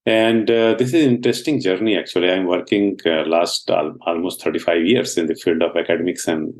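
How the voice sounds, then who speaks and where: Indian guy in his 60s, hindi first language, low voice, earnest and explainy with lots of uh's. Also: steady tone, a little fast. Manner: earnest, explanatory, frequent uh fillers, laughs at times. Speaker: scientist guest. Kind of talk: podcast interview.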